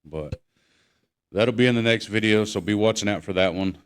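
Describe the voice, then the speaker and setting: A man's voice: lowish voice, normal speed, clean tone. A man chatting casually as a podcast co-host, laid-back and full of slang. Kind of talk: casual chat.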